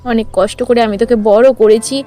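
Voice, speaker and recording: A woman's voice: fairly high pitch, steady tone, normal speed, dark tone, natural voice. Lady doing a weary worried village mom in a cartoon, emotional and scolding, music behind. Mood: worried, resigned. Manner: emotional, admonishing. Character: worried, weary ageing village mother, protective. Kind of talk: dramatized cartoon story acting. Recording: music under the voice.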